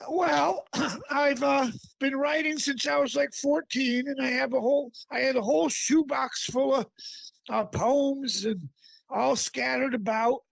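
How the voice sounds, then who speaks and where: A middle-aged man with a raspy voice, a guest author talking casually in an interview, halting often with fillers. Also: extremely high pitch, dry recording, highs cut off. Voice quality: raspy, gravelly.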